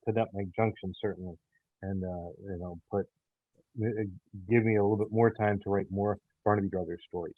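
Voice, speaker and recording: A man answering interview questions as a writer, explaining himself in a halting way with many pauses. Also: deep voice, steady tone, no room sound, narrow, tinny audio. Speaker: audio theater writer and creator.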